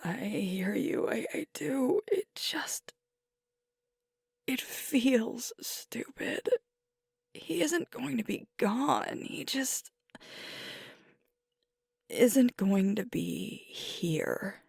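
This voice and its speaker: A woman playing a sweet, vulnerable alpha werewolf girlfriend in an intimate ASMR roleplay, speaking softly and hesitantly as she grieves. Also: fairly high pitch, animated, no echo, studio quality, full character voice. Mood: hurting, self-reproachful. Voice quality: soft, breathy, often whispered. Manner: slow, hesitant, confiding, with pauses.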